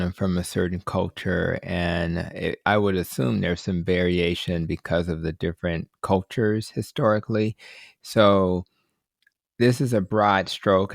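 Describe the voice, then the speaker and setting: A man's voice: sits low, gravelly, no room sound, clean studio sound. A man hosting a podcast, asking thoughtful, hedging questions full of fillers. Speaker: podcast host. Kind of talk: interview questions.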